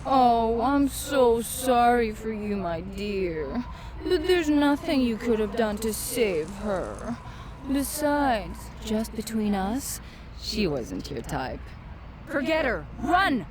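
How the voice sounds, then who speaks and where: Sly, lively, mocking demoness. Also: female voice, a little high, slow pace, crowd noise. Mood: mock pity. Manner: teasing, sardonic, insinuating. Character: seductive, mocking, sly female demon companion. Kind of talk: fantasy video game acting. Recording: game sound effects behind the voice.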